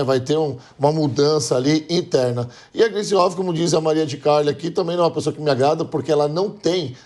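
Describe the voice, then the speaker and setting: Male Brazilian panel commentator, pitched a little high, informal and conversational, self-correcting. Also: a little mellow. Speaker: political commentator. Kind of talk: live panel discussion.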